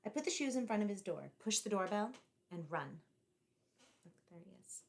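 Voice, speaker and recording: A woman reading a picture book aloud to children in an expressive, deliberately phrased storytelling style, like a teacher. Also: medium pitch.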